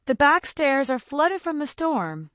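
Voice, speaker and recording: A woman's voice: high voice, big pitch swings, measured pace, a bit bright, no room sound, pitched above the performer's own voice, full character voice. A stern, curt young heir, voiced by a woman narrator. He delivers short, disdainful orders with clipped coldness. The sound is dry and close-miked.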